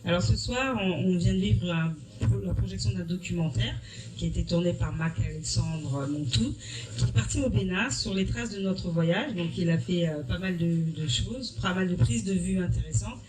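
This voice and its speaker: A woman's voice: on the low side, moderate pace, darkish tone. Middle-aged woman teacher from africa, earnest, keeps restarting, mic kinda far off. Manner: earnest, explanatory, with frequent restarts. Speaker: history-geography teacher. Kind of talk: interview answers. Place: evening school event. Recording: distant mic with noisy room.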